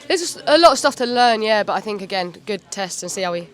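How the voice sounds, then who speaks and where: Halting woman football captain. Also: medium pitch, animated. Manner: halting, modest, many "like" and "um" fillers. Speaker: team captain. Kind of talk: post-match interview. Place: pitchside at an outdoor stadium.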